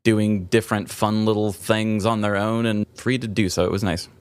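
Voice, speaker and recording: A man's voice: on the low side, flat delivery, moderate pace, clear, resonant tone, dry recording. A man co-hosting a podcast, bantering casually in a wry, teasing way. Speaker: podcast co-host.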